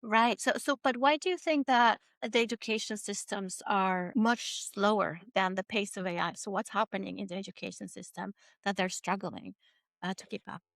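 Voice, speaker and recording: A woman's voice: medium pitch, normal speed, gravelly, a bit bright, no echo, studio quality. A woman hosting a podcast, interviewing guests in a conversational way. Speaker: podcast host.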